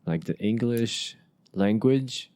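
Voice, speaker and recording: Low-voiced halting man. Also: a little mellow, no room sound. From Asia. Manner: halting, many restarts and fillers. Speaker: podcast host. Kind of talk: casual conversation.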